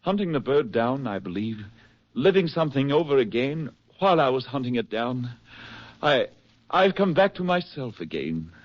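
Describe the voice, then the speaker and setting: An actor playing a haunted, gentle man finding his way back, halting and earnest, in 1940s radio drama style. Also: mid-range voice, big pitch swings, dry recording. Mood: earnest, relieved. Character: haunted, gentle man recovering from a broken mind. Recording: muffled 1940s radio recording, music behind.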